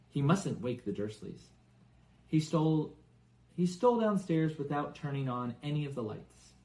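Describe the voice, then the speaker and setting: A man reading a children's novel aloud as expressive scripted narration. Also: medium pitch, poor audio. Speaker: audiobook reader for English learners.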